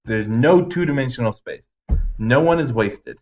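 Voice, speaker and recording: A man's voice: mid-range voice, lively intonation, moderate pace, darkish tone. A man co-hosting a podcast, giving blunt, emphatic opinions in casual chat with some swearing. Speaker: podcast co-host. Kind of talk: casual ranting movie review chat.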